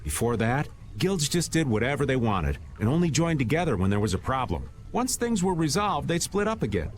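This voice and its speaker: Game know-it-all adventurer played by a man, middle pitch, lively intonation, easygoing, slight background noise. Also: brisk, soft background music, natural voice. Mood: casual, informative. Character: worldly, knowledgeable male adventurer, easygoing explainer. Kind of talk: video game voice acting, exposition.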